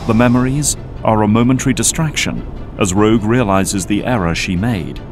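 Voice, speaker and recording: A man's voice: fairly low pitch, moderate pace. A man reading scripted, dramatic narration as the narrator of an audio drama. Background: background music.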